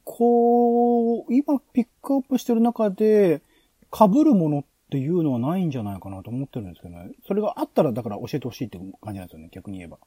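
Animated man hosting a podcast. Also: on the high side, quick pace, husky, no room sound. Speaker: podcast host. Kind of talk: casual planning discussion.

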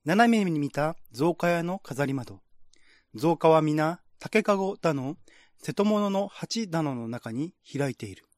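A man's voice: fairly high pitch, expressive, a little slow, dry recording, clean studio sound. A man reading scripted narration in a radio-drama style, as the narrator of a screenplay.

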